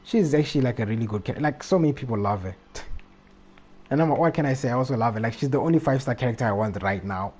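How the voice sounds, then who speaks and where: Mellow-voiced man chatting on a game stream. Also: medium pitch, quick pace, slightly rough. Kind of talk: casual gaming livestream chat.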